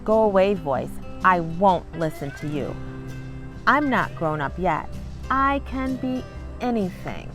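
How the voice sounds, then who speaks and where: A woman's voice: on the low side, expressive, slow pace. A woman hosting a children's read-along, reading aloud and talking to viewers in an encouraging way. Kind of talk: storybook read-aloud with warm asides to viewers. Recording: music behind the voice. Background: background music.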